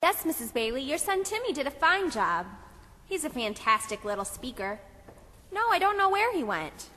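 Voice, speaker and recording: A woman plays a gushing, prim schoolteacher in a puppet show. Her voice is high and animated, carrying through a reverberant hall. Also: moderate pace, heavily acted. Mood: indulgent. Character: gushing, chatty female church children's teacher. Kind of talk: children's Christmas puppet show.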